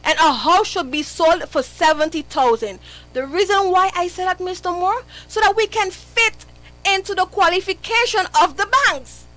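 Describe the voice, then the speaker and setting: Young woman, very high voice, bright tone, earnest radio guest. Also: normal speed, poor audio. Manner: earnest, pleading, addresses host by name. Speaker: interview guest. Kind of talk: live radio interview.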